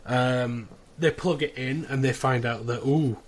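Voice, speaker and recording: A man's voice: mid-range voice, unhurried, slight background noise. British man, chatty and wry. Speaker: podcast host. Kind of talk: casual recap of a show's plot.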